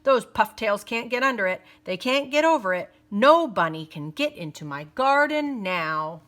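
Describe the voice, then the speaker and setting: Fussy gardener guy from a storybook, loud emphatic exclaiming, bright voice, a lady putting him on. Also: fairly high pitch, lively intonation, moderate pace. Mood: triumphant. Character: fussy male gardener, grows angry at bunnies.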